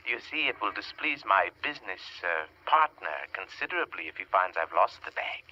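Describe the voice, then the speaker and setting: An actor playing a courteous, insinuating little crook with veiled menace in a 1940s radio drama. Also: male voice, low-pitched, sing-song, moderate pace, bright, forceful tone, exaggerated voice. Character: little bald crook, politely menacing, precise, unhurried. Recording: dull vintage radio recording.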